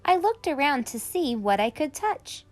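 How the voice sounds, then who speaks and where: Animated woman reading to young children. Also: high voice, moderate pace, faint music behind. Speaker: host of a children's read-aloud show. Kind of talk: expressive children's story read-aloud.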